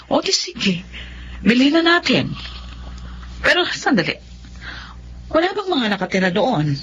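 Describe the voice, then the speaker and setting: A woman's voice: high voice, big pitch swings, a little slow, fairly bright tone, highs cut off, slight background noise, full character voice. A woman playing a cautious, caring older woman in a Tagalog radio drama about families. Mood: wary.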